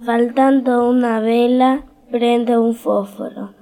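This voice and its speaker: A woman slowly reading a quotation in a measured, solemn way, from a played clip. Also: fairly high pitch, flat delivery, mellow. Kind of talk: slow read quotation over music. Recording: played clip with music behind.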